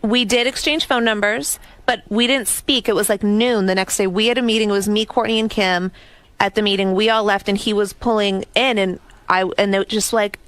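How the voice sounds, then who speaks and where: A woman's voice: medium pitch, fairly even intonation, moderate pace, fairly bright tone, smooth. A woman in her twenties, a guest on a radio talk show, chatting casually and giggling, with lots of "like" fillers. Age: 20s. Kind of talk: casual chat.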